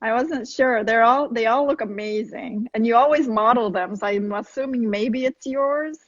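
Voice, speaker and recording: A woman's voice: on the high side, moderate pace, no echo. A woman hosting a casual interview over a video call, encouraging her guest with frequent short affirmations. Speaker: host. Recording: dry, muffled video-call audio.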